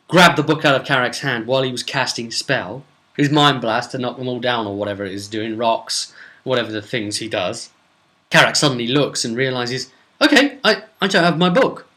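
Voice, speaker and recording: A man, a game master, casually recounting a role-playing session in a rambling, animated storytelling way. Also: medium pitch. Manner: rambling, animated storyteller acting out snippets of dialogue.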